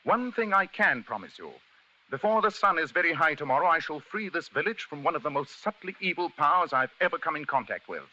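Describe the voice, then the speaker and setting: An actor playing a shrewd, commanding English gentleman detective, crisp and theatrical, with a British accent in an old radio mystery. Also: fairly high pitch, sing-song, fairly quick, background noise. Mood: brisk, commanding. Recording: muffled old radio broadcast, music underneath.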